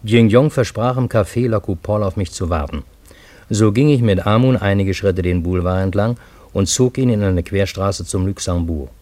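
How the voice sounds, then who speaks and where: An actor playing a Parisian man who narrates his own strange adventure in a radio drama, in measured literary storytelling. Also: lowish voice, mellow, no echo, close to an everyday voice. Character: male Parisian narrator, astonished friend of time traveller.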